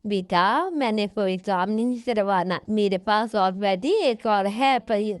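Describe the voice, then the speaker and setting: A woman voicing a kindly, motherly bird in a cartoon story, speaking politely and gently. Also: medium pitch, slow pace, dry recording, natural voice. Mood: gentle refusal.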